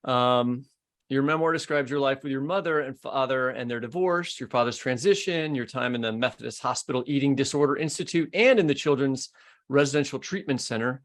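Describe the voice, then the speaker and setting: A man's voice: medium pitch, fairly quick. A man with an American accent, hosting a podcast with scripted introductions and interview questions. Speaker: podcast host.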